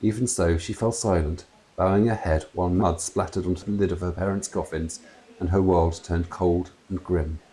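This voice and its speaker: A man reads a children's story aloud in an expressive, deliberate storytelling manner. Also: sits low, low-quality recording. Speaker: children's author reading aloud. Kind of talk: dramatic storybook narration. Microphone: clip-on lapel mic.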